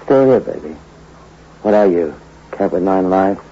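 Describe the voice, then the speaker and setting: A man's voice: sits low, expressive, a little slow. A man playing a tough, bitter small-time hoodlum in a 1960s radio crime drama, with slangy, hard-bitten delivery. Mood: bitter, menacing. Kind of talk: 1960s radio crime drama acting with first-person narration. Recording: dull old radio recording with music behind.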